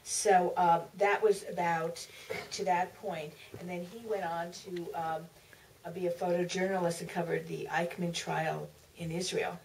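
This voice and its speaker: Woman author at a q&a, creaky voice, halting, some room noise, mic kinda far. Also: fairly low pitch, level tone, darkish tone. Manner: halting, repeats and trails off. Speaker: author, panel guest. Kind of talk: recollecting at a live audience Q&A. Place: museum theater. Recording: distant, noisy auditorium pickup.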